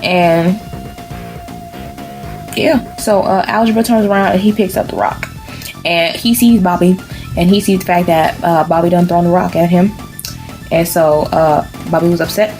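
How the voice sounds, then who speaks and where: A woman telling a comic, improvised bedtime story in a deadpan, mock-serious way, full of sassy asides. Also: on the low side, moderate pace, pauses often. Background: background music.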